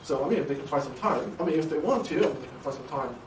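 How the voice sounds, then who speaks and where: A man's voice: on the high side, animated, quick pace. Guy lecturer, lots of uh and like, sounds echoey and far off. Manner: hesitant, many "uh" and "like" fillers. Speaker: university lecturer. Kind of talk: interview for a program promo. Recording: echoey room, distant mic, background noise.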